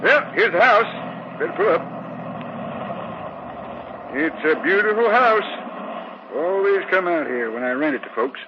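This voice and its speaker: Radio play: folksy old landlord, high-pitched, plain-spoken drawl, dull noisy vintage recording. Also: male voice, expressive, measured pace, pauses often. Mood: folksy, friendly. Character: folksy old country local who rents out houses. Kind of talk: old-time radio drama acting.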